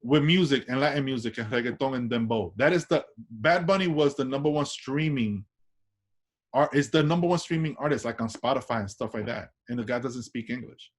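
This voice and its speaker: A man's voice: mid-range voice, fairly quick. A man from Washington Heights with a New York accent, an artist chatting casually in an interview, loose and full of restarts and tag questions. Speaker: artist guest.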